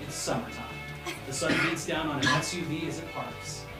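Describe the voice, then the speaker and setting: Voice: mid-range voice, fairly even intonation, normal speed. Roomy, distant script reader over guitar music. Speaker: stage directions reader and host of a live table read. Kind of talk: reading script directions with casual asides.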